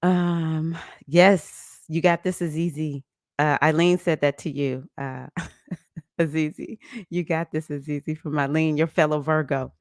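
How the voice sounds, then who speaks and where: A woman, hosting a casual livestream about astrology, chatty and animated, laughing often and greeting viewers by name. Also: low voice, a little slow, no echo, clean studio sound. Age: 50s. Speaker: livestream host.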